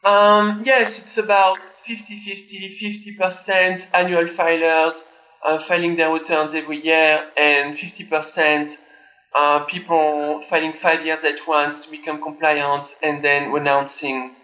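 A man answers interview questions plainly and matter-of-factly. His voice is fairly high and bright but flat, with little rise and fall. He comes through a dull, lo-fi remote line. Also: unhurried. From France. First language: French. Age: 30s. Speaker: tax accountant guest.

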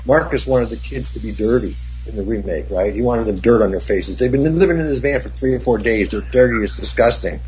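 An older man, a cinematographer, telling rambling, profane set stories with many restarts, in a husky voice. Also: medium pitch, a little fast, hardly any pauses, darkish tone, slight background noise. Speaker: cinematographer guest. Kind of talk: casual anecdote. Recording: dull lo-fi recording.

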